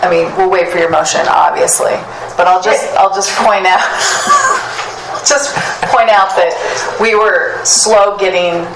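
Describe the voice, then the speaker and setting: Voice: medium pitch, sing-song, unhurried, gravelly. A speaker in a casual meeting discussion, friendly and laughing while talking. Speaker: meeting participant. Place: meeting room. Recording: echoey room, background noise.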